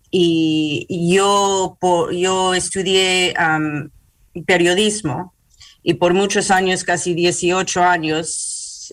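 Slow, halting bilingual woman in an interview. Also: lowish voice, a bit bright. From New York, United States. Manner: halting, searching for words in Spanish, slips into English words. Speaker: hospital public relations manager, interview guest. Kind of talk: interview answers.

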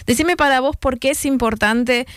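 Clear-voiced woman hosting an interview. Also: sits high, moderate pace, no room sound, clean studio sound. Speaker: interviewer, host. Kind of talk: conversational interview.

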